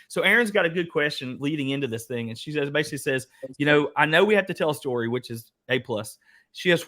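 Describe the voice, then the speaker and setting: Man, eastern kentucky accent, folksy workshop host, slightly high voice, bright tone. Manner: chatty, folksy, restarts and speaks to the audience as "guys". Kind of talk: casual workshop talk.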